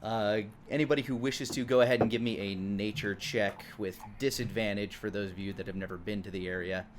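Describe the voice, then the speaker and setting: A man's voice: on the low side, steady tone, brisk. A man narrating a tabletop game as game master, improvising and searching for words as he describes things. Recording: clearly noisy background.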